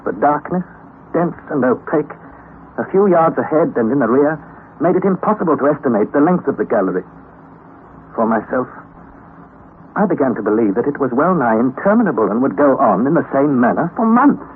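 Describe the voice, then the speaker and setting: A man's voice: medium pitch, very animated, brisk, close to an everyday voice. A man playing an anxious young nephew who narrates a perilous expedition in an old radio drama, earnest and formal. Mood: weary, grim. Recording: tinny, noisy old radio recording.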